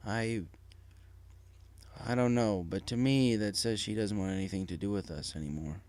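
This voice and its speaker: A man voicing a hesitant, worried father pony in a storybook, halting and subdued. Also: lowish voice, a little mellow, pitched below the performer's own voice, natural voice. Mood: hesitant, uneasy. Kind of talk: storybook character reading.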